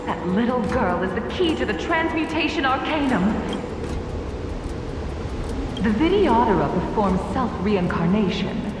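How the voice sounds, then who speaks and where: A woman's voice: a little high, very animated, a little slow, pauses often. A woman voicing a stern, haughty and zealous dragon alchemist in a video game, with an imperious, theatrical delivery. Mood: fervent. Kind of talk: video game character acting. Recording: echoey hall from far off, music behind.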